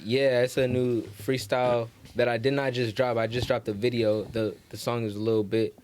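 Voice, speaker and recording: A man's voice: medium pitch, flat delivery, moderate pace, a little mellow. A man chatting casually in slang as a podcast guest, emphatic, repeating his phrases and swearing. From Salinas, California, United States. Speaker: podcast guest, local rapper and promoter. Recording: clear background noise with clicks.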